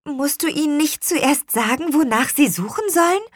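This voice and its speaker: A woman's voice: sits high, lively intonation, moderate pace, no echo, studio quality, pitched above the performer's own voice. A woman voicing eager, matter-of-fact young children in a children's storybook, in a direct manner. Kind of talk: children's storybook character reading.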